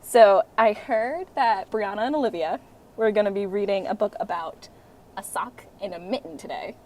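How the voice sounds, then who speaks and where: A woman's voice: mid-range voice, moderate pace, darkish tone, slight background noise, natural voice. A woman voicing a playful, friendly, joke-telling sock puppet in a children's show. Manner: playful setup-and-punchline joke delivery.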